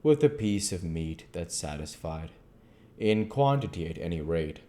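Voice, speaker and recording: A man reading a classic novel aloud as an amateur narrator, measured, with the odd stumble. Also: low voice, expressive, warm tone. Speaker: amateur book reader.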